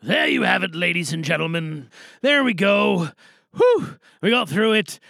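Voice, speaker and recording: A man's voice: high voice, very animated, measured pace, gravelly, a bit bright, no room sound, clean studio sound. A man hosting an audio theatre podcast, chatting casually to listeners in a rambling way. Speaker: podcast host and voice performer.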